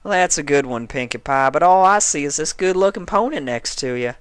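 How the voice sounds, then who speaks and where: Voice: medium pitch, expressive, a little fast, clean tone, no room sound, voice pushed up, lightly acted. A performer voicing a plain-spoken, teasing female cartoon farm pony with folksy, forthright country talk. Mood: flirty, teasing.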